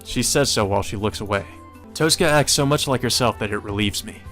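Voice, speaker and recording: A man's voice: on the low side, fast, fairly bright tone, soft background music. A man reading visual novel narration aloud as a let's player, with casual commentary.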